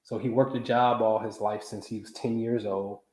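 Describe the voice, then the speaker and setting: A man's voice: on the low side, steady tone, normal speed, a little mellow, reverberant, poor audio. A man in his forties hosting a casual astrology livestream, talking loosely and animatedly in slang, often asking "you know what I'm saying?". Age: 40s. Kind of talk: casual live talk.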